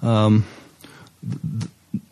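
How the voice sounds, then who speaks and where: A man co-hosting a podcast in casual chat, speaking in a halting, hesitant way. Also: mellow, poor audio. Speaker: podcast co-host.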